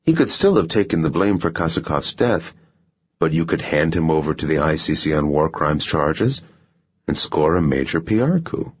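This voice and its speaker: Cool, wary hitman for a thriller audiobook, read by a male narrator: extremely low, husky, clipped and dry. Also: very animated, normal speed, exaggerated voice. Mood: probing. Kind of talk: audiobook character dialogue. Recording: muffled, dull audio.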